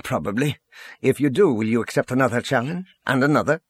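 A man's voice: mid-range voice, animated, a little fast, breathy edge, no room sound, studio quality. A man voicing a stern, earnest general in an audiobook, blunt and pressing in his indignation. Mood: stern, pleading.

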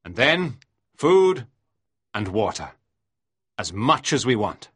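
A woman voicing a stern, steady male ship captain in a storybook reading, speaking firmly. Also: very deep voice, slow, breathy edge, no room sound, clean studio sound, pitched below the performer's own voice, full character voice. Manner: firm, commanding.

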